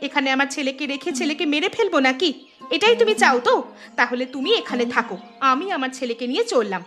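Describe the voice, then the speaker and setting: A woman's voice: high voice, animated, quick pace, fairly bright tone, faint music behind. A woman playing a headstrong, emotional young city daughter-in-law in a cartoon, speaking emphatically and dramatically. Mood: angry, defiant. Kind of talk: Bengali cartoon voice acting.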